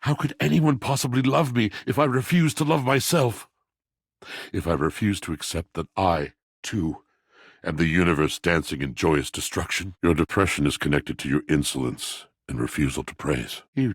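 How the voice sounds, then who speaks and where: A man's voice: deep voice, normal speed, slightly rough, no echo, clean studio sound. A man playing a melancholy, bookish washing machine in a video game, speaking in grandiose, poetic, theatrical phrases. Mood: anguished, grandiose, then effusive. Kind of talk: video game character acting.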